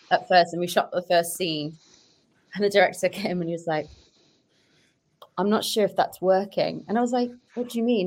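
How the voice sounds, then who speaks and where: A woman's voice: mid-range voice, fairly bright tone. A woman podcast guest, an actress and comedian, chatting casually and telling anecdotes, often acting out the dialogue.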